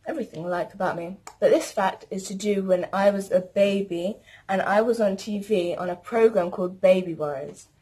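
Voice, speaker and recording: A woman's voice: medium pitch, a little mellow. Teen girl, casual rambling vlog delivery. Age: teens. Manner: chatty, rambling, lists things. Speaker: vlogger. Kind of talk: casual chat to camera.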